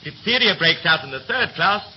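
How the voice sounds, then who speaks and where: An actor in a 1930s radio play as a young third officer, brisk and slangy, chatty yet scornful and resentful. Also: male voice, high voice, lively intonation. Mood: grumbling. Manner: brisk, breezy, clipped slangy speech. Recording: muffled, hissy 1930s radio recording.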